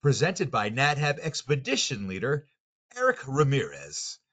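A man hosting a webinar, reading a scripted introduction in a lively, announcing manner. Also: mid-range voice, a little slow. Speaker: webinar host.

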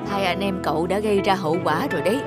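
A man's voice: sits high, fast, over loud music, close to an everyday voice. A man voicing a flustered, stern but helpless male manager creature in a cartoon. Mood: firm, reproachful. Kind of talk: cartoon dialogue.

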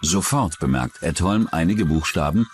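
Deep-voiced male true-crime narrator. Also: lively intonation, moderate pace, soft background music. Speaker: documentary narrator.

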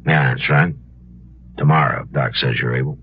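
An actor playing a stern, weary American frontier marshal in 1950s radio western style, measured and plain-spoken. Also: male voice, rumbling low, fairly even intonation. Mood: steady, accommodating. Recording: dull, noisy 1950s radio recording.

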